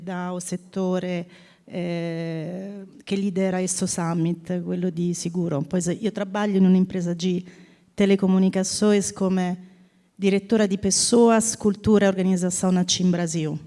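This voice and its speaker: Woman, 50s, Italian accent, native Italian speaker, panel speaker, dark warm tone, frequent throat clearing. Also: mid-range voice. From Italy. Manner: drifts between Portuguese and Italian, clears her throat often. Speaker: panel speaker, corporate people director. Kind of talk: panel talk.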